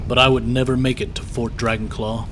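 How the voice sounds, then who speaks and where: A man playing a weary legion soldier, narrating battle in the first person with solemn, measured delivery in a fantasy audio drama. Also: mid-range voice, little pitch movement, background noise, lightly acted. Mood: grave. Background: loud dramatic music.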